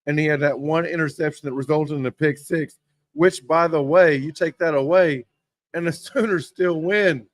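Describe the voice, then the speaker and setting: Hoarse man ranting about football. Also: fairly high pitch, normal speed, dry recording. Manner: rambling, gets worked up over play calls. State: hoarse from yelling. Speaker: host. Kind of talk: casual sports talk. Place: sports bar.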